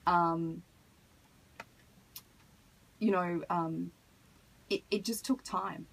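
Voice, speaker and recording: A woman answering as a radio interview guest, earnest and measured, picking her phrasing hesitantly as she explains. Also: on the low side, fairly even intonation, gravelly, husky, poor audio. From Australia. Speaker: hip hop artist, interview guest. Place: radio studio. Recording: noticeable background noise.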